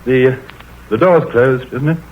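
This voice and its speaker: An actor playing an uneasy, secretive, middle-aged country squire confiding in vintage radio drama style. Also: male voice, mid-range voice, normal speed, lightly acted. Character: anxious, secretive wealthy country squire nearing fifty. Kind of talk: 1930s-40s radio drama acting. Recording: muffled, noisy vintage radio recording.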